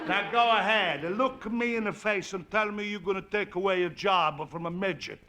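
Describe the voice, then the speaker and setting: An actor playing a brash, mocking Italian immigrant neighbor who speaks heavy broken English, in a 1940s radio sitcom. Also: male voice, high-pitched, moderate pace, pushed and bright. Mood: gloating. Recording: old radio broadcast with faint hiss.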